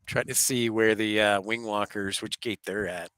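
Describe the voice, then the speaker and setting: A man's voice: fairly low pitch, fairly even intonation, normal speed, fairly bright tone, clear, resonant tone, no room sound. A man with a congested voice from a cold and sore throat, chatting casually and welcoming viewers as he hosts a live plane-spotting stream. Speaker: livestream host, aviation spotter. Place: live at an airport.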